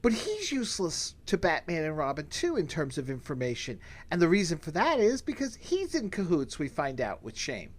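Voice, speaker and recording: Lively American man, podcast co-host. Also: fairly high pitch, normal speed, few pauses. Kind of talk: casual chat recapping a TV episode.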